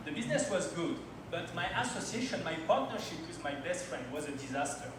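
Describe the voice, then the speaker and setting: A man's voice: on the high side, sing-song, a little fast. French man telling stories in an echoey hall. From France. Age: 30s. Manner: storytelling, personal anecdotes, non-native phrasing. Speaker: conference speaker. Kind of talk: timed slide presentation. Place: event hall at a launch party. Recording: very echoey hall, distant mic, noisy.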